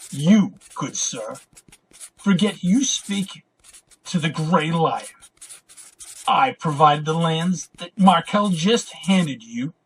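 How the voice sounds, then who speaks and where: Male actor as arrogant royal, pompous and wrathful, theatrical audio drama style, rough-edged higher voice. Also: fairly bright tone, heavily acted, poor audio. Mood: scornful. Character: arrogant, wrathful, imperious prince dictating letters.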